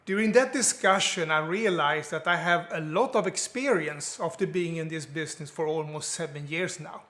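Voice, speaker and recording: A man in his seventies from Sweden with a Swedish accent, talking to camera in earnest, plain-spoken and slightly halting English. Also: fairly high pitch, sharp tone. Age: 70s. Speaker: online business vlogger. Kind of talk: scripted talk to camera.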